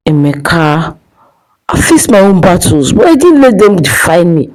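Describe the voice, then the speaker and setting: A woman's voice: fairly low pitch, very animated, moderate pace, a little mellow. A woman voicing an earnest, responsible soldier, a caring brother in a dramatic folktale. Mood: earnest urging. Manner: earnest, urging.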